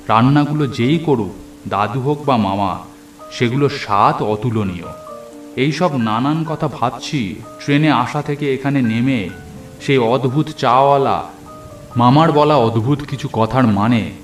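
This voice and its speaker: A man narrating a first-person horror story in a dramatic storytelling style. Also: mid-range voice. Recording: echoey narration over music and rain.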